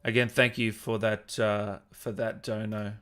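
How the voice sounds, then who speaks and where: A man's voice: lowish voice, flat delivery, unhurried, fairly bright tone, clear, resonant tone. A man hosting a livestream, chatting informally and jokingly with his viewers in a matey way. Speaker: livestream host reading viewer comments. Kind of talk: casual livestream chat with viewers.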